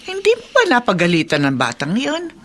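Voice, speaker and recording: A woman playing an anxious elderly grandmother in a Filipino radio drama, halting and questioning. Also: on the high side, wildly swooping pitch, fairly bright tone, slight background noise.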